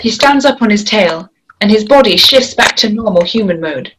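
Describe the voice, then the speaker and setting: A woman's voice: mid-range voice, animated, normal speed. Woman narrating screenplay directions, faint noise. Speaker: narrator reading stage directions. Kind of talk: scripted narration at a table read.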